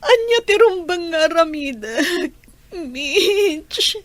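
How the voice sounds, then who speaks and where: A woman's voice: very high voice, lively intonation, drawn-out words, dry recording, heavily acted. A woman acting an anxious, emotional young lover in a radio drama, with an Ilocano accent. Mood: heartbroken, tearful. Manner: emotional, plaintive. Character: Lilia, anxious, heartbroken young pregnant woman, betrayed lover.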